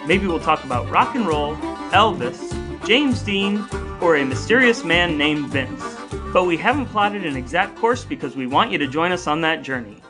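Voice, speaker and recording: A man's voice: a little high, lively intonation, moderate pace, hardly any pauses, over music. An American man co-hosting a podcast, moving between scripted intros and casual chat in a playful, wordy, announcer-like way. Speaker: podcast co-host.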